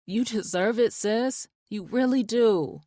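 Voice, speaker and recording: A woman voicing an excitable, emotional adult sister on a video call, in an audiobook. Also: fairly high pitch, takes it slowly, dry recording, clean studio sound, voice pushed up. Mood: excited. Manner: lively, emotional, teasing. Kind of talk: audiobook narration.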